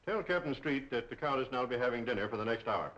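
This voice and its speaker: A man plays a haughty gentleman in an old crime picture. He is dryly sarcastic and rattles his lines off quickly at a middling pitch, on a dull vintage soundtrack. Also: expressive, a little hiss or hum, close to an everyday voice. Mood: haughty sarcasm. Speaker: actor. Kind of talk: 1930s film acting. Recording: dull 1930s film soundtrack.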